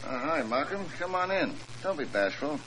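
A man's voice: a little high, sing-song, normal speed, fairly bright tone. An actor playing a sour, aggrieved man in 1940s radio style, flippant and grumbling. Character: sour, aggrieved brother cut out of a will. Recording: faintly noisy old radio recording.

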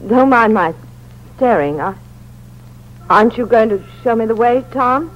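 A woman's voice: a little high, lively intonation, a little slow. An actress playing a bold, suspicious young woman in a 1940s radio thriller, speaking in direct, clipped phrases. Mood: unsettled. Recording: dull old radio recording.

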